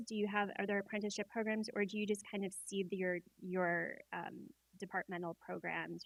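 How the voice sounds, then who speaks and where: Woman moderator, dry sound, halting as she reads audience questions. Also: mid-range voice, creaky, no echo. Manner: hesitant, many fillers. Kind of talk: reading audience questions on a panel.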